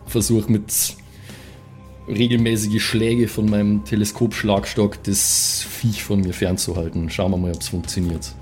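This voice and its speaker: A man's voice: fairly low pitch, darkish tone. A man chatting casually as a player in a tabletop role-playing game, talking through moves and rules. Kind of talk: casual improvised game chat, rules talk.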